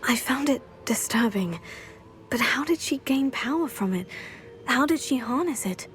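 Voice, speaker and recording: Young game heroine: witch student, composed, determined, earnest, higher-pitched, music underneath. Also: lively intonation, normal speed. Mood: composed, curious. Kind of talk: video game dramatic acting.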